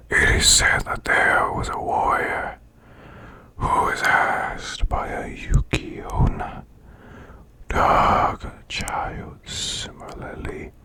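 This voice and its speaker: A man voicing a male demon boss in an ASMR bedtime reading, with a breathy, rasping, growled whisper and slow, deliberate storytelling. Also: extremely high pitch, pushed and bright, exaggerated voice. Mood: eerie, hushed. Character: male demon boss, growling while lulling listener to sleep.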